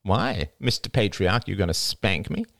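A man voicing a brash, mocking young anarchist woman in a high, nasal, theatrical voice for a story. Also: huge pitch swings, moderate pace, pushed and bright, dry recording, studio quality, heavily acted. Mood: sneering. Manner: sarcastic, taunting, exaggerated.

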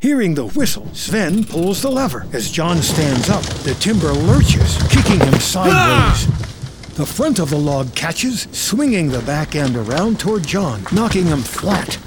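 A man's voice: fairly high pitch, sing-song, a little slow, loud music behind the voice. A man reading scripted screenplay narration as the narrator of a drama.

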